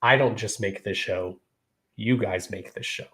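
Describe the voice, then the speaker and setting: A man's voice: lowish voice, a little slow, bright, forceful tone. A man in his thirties hosting his own podcast, talking casually to viewers and filling his speech with "you know" and "y'all.". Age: 30s. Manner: laid-back, repeats "you know" and "y'all". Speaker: podcast host.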